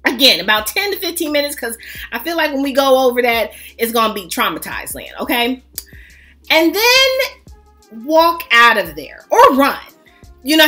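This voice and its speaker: A woman with a bright, high voice, the kind heard on a beauty channel's storytime, dishing out mock-serious advice over music. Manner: playful, teasing, mock-serious instructing with frequent check-in tags. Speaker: fragrance vlog host. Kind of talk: casual comedic storytime chat.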